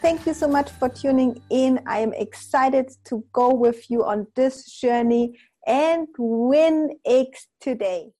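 An enthusiastic woman hosting a podcast, her voice a little high yet mellow and warm. She talks in an effusive, motivational way, with faint music playing behind her. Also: takes it slowly. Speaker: podcast host. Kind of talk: podcast interview.

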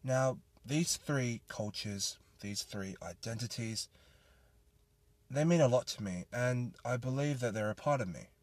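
A man's voice: medium pitch, animated, measured pace, no room sound. A young Australian man hosting a solo podcast, talking in an earnest, rambling and grateful way. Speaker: podcast host. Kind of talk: casual solo podcast monologue.

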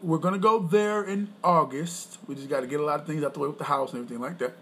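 A high-pitched man chatting on a home podcast. He rambles and brags, clears his throat now and then, and a faint hiss sits under the recording. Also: quick pace, fairly bright tone. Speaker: podcast co-host. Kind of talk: casual chat.

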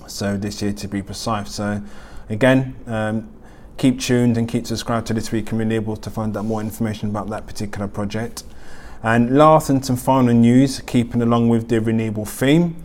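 A man's voice: fairly low pitch, darkish tone, slight background noise. A man hosting a weekly news roundup, reading the items with many ums and uhs. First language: not German. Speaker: host.

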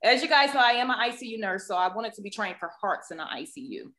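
A woman with a deep Southern accent, an ICU nurse and podcast co-host, telling her story in an animated way and laughing easily. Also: fast talker. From Southern United States. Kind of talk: casual podcast chat.

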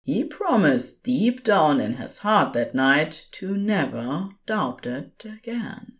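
A woman narrating scripted fan fiction as an expressive storyteller. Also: deep voice, slow.

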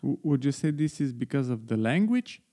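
Hesitant middle-aged man with a mellow voice. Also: medium pitch, very animated, normal speed. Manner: questioning, hesitant with many uhs. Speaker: podcast host, the guest's father. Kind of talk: casual interview chat.

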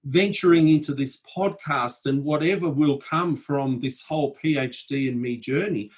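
A man hosting a casual podcast conversation from his desk at home, talking in a reflective, measured way with frequent ums. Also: on the high side, slightly rough. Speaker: podcast host. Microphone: desk setup at home.

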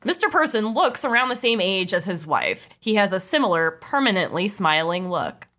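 A woman's voice: medium pitch, sing-song, normal speed, bright, forceful tone. A woman reading stage directions as narrator of a comedy table read.

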